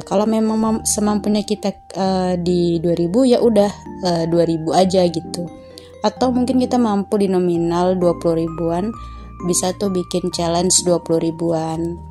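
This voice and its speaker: A young adult woman, hoarse from a cough, giving a chatty voice-over for her personal finance vlog with frequent "eh" fillers. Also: fairly low pitch, mellow. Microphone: home voice-over mic.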